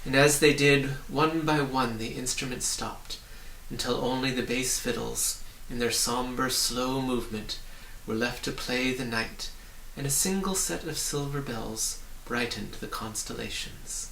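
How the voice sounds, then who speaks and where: A man's voice: mid-range voice, a little slow, vocal fry, few pauses, fairly bright tone. A man reading a children's story aloud as scripted audiobook narration. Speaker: audiobook reader. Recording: roomy, distant, faint hiss.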